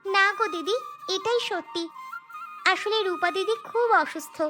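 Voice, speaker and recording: A woman's voice: very high-pitched, moderate pace, over music. A woman voicing a helpful, determined young village schoolgirl in a cartoon fairy tale, speaking earnestly and insistently.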